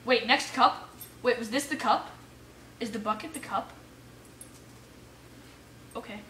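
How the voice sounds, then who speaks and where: A bright, sharp-voiced woman narrates her own gameplay. She talks casually and excitably, exclaiming and muttering to herself. The sound is roomy, picked up some way from the mic. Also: a little high, unhurried. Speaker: gamer playing an adventure map for viewers. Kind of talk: casual let's play commentary. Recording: roomy echo, distant mic, faint noise.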